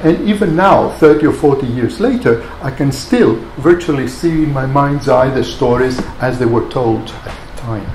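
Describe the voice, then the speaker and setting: A man in his sixties from Greece giving an academic lecture in a reflective, hesitant way with frequent pauses. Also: medium pitch, darkish tone. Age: 60s. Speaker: guest lecturer, professor. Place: wood-panelled seminar room, indoors. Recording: echoey room, audible background noise.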